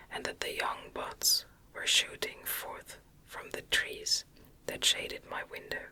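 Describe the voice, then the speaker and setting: A woman reads a gothic novel in a soft, breathy ASMR whisper, with an unhurried, calming delivery. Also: low-quality recording. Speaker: audiobook reader.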